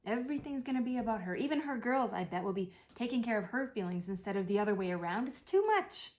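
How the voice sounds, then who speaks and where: A woman's voice: on the high side, expressive, fast talker, darkish tone, close to an everyday voice. A woman reading a play as an anxious, wounded grown daughter of a dying mother, defensive, sardonic and blunt in manner. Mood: anxious, bitter.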